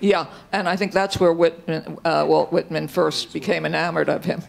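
A man adding informal remarks at a public talk, tentative and halting. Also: a little high, little pitch movement, creaky. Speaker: discussion participant. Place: lecture hall.

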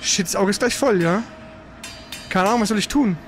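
A man giving casual, slangy let's play commentary while he plays, reacting out loud to the game. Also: high voice, a little fast, soft background music. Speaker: let's player.

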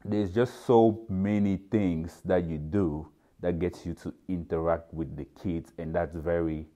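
A man from Cameroon in his thirties talks in a relaxed, thoughtful way, with occasional restarts, in a documentary interview. His voice sits very low and sounds mellow and warm. He is seated in a large indoor lounge, recorded by an off-camera mic. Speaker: dorm parent.

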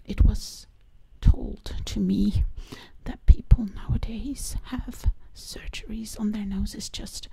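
A woman's voice: mid-range voice, fairly even intonation, takes it slowly, gravelly, few pauses, mellow, slightly rough, no room sound. A woman whispering closely and breathily in an ASMR roleplay as a sassy zombie princess, playfully repeating words and phrases. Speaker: ASMR performer. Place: small living room, indoors.

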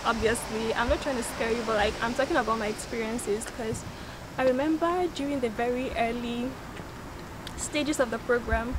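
A young woman in her twenties chatting casually while giving an outdoor campus walking tour, friendly and quick to laugh. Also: a little high, fairly even intonation, noisy background. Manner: chatty, laughs often, friendly advice to viewers. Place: outdoors on a street and campus grounds.

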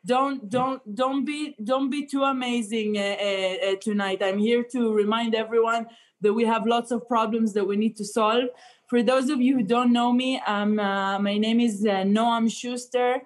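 A woman's voice: on the high side, fairly even intonation, measured pace. A woman, a stand-up comedian chatting and joking on a video call with many fillers. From Israel. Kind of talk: comic chat on a video call. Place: indoor room. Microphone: laptop webcam on video call.

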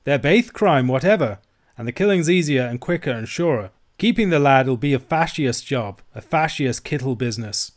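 A bold Highland man with a Scottish accent, put on by a male narrator for an audiobook. He bargains in a blunt, forceful way. His delivery is sly and taunting, with a sneer in it. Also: expressive, moderate pace, close to an everyday voice. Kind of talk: audiobook character voice.

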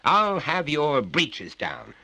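A man's voice: fairly high pitch, very animated, slow, creaky, bright, forceful tone, dry recording, voice pushed up, exaggerated voice, poor audio. A man voicing a pompous, overbearing middle-aged father who lectures in a condescending, menacing way.

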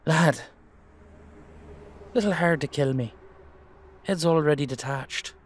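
A man's voice: on the high side, slow pace, husky. A man playing a protective, brotherly dullahan with an Irish accent, reassuring and colloquial in an audio drama.

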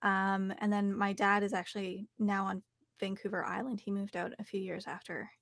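A young woman with a Canadian accent chats casually as a guest in an interview. Her voice sits at an ordinary pitch for a woman. The sound is dry, close and free of room echo. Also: level tone, normal speed, a bit bright. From Calgary, Canada. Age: young adult. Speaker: interview guest, actor and voice artist. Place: small home studio, video call.

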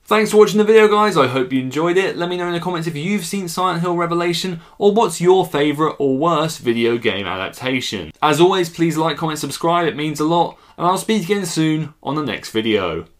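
A man's voice: on the high side, expressive, brisk, hardly any pauses. A man in his twenties narrating a scripted video review in a sardonic, scornful way. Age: 20s. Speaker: film reviewer.

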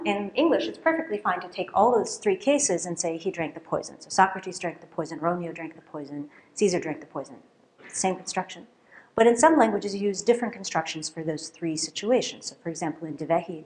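A middle-aged woman, a native Russian speaker, giving a conference keynote at a lectern in a lecture hall, lively and often checking in with "right?". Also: on the low side. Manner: lively, questioning, with frequent "right?" and fillers. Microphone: lectern microphone.

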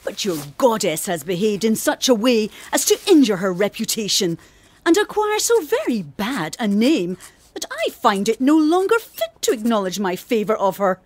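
An actress playing a proud, disapproving eighteenth-century gentlewoman, formally voicing her letter in a radio drama. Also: on the high side. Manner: formal, haughty. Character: proud, disapproving genteel 18th-century lady letter writer.

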